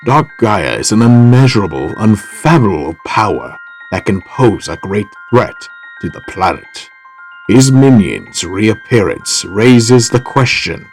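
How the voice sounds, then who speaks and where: A man playing a learned, grave older professor with a formal, scholarly manner in a fan audio drama. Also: on the low side.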